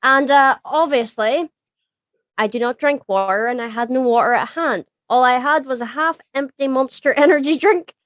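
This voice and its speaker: A woman's voice: high voice, moderate pace, no room sound. A woman who is a voice actor, chatting casually on a podcast in a giggly, hesitant manner.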